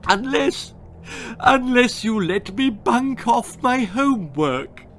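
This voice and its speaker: A man's voice: extremely high pitch, animated, slow, a bit bright, slight background noise, voice pushed up, heavily acted. A man voicing a spoiled, manipulative little girl who sobs and whines to get her way. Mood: sobbing. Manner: sobbing, whining, wailing.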